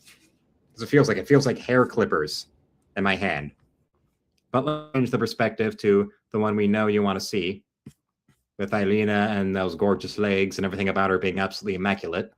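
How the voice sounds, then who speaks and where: A man's voice: on the low side, steady tone, brisk, a little hiss or hum. A man chatting casually and opinionatedly while drawing on an art livestream. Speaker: art streamer.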